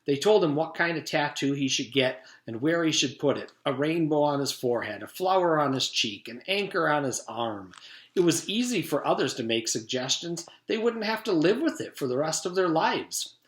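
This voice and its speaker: A man's voice: a little high, animated, normal speed, fairly bright tone. A man reading a children's storybook aloud like a teacher, narrating expressively in a roomy space. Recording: roomy echo.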